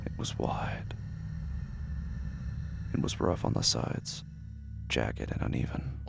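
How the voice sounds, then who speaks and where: Deep gravelly male narrator. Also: slow, whispered. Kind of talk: horror audio drama narration.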